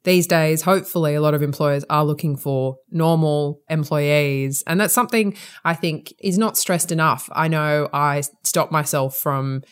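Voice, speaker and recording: Low-voiced Australian woman interviewer. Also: moderate pace, few pauses, clear, resonant tone. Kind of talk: conversational interview.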